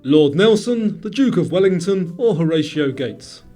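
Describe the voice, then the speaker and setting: A man hosting a quiz, reading scripted questions and instructions to the player in a clearly enunciated presenter's delivery, with music behind him. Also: high-pitched, expressive, measured pace, warm tone. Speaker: quiz show host. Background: background music.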